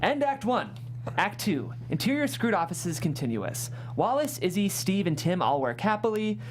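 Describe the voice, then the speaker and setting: A woman's voice: mid-range voice, sing-song, moderate pace, over music. A woman reading stage directions as scripted narration at a comedy table read. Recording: faint room noise and hum.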